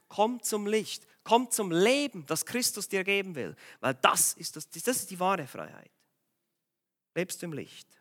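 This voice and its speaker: A man's voice: high-pitched, fairly bright tone. A man with a cold and a slightly strained voice, preaching a sermon in an urgent, exhorting way and firing direct questions at the congregation. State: has a cold, voice a bit strained.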